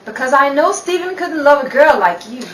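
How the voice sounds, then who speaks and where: A woman's voice: high-pitched, animated, normal speed, fairly bright tone. A woman playing a proud, wounded society wife and mother, firm and indignant in a dramatic 1930s-style radio play. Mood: indignant resolve. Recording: echoey room, distant mic, faint noise.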